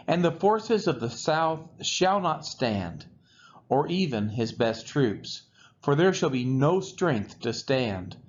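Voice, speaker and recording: Slow, expressive man reading scripture. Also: mid-range voice. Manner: measured, deliberate phrasing. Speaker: scripture reader. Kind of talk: solemn read-aloud of scripture. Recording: dull lo-fi recording with faint noise.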